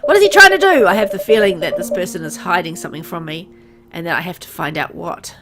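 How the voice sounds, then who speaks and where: A woman's voice: on the low side, lively intonation, fast, voice pushed down. A woman voicing a brooding, suspicious father who narrates his wandering in a visual novel with a hesitant manner. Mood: wary.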